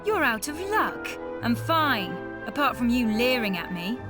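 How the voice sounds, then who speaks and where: A woman playing a feisty, sharp-tongued young mage in a video game, confident, sassy and direct. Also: fairly high pitch, very animated, measured pace, over music. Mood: irritated, scornful. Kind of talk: animated video game voice acting.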